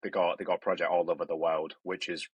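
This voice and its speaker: A man's voice: deep voice, flat delivery, fast talker, dull audio. A man, a construction student speaking earnestly and hesitantly in a podcast interview. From northeast China. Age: 20s. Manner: earnest, hesitant, many restarts.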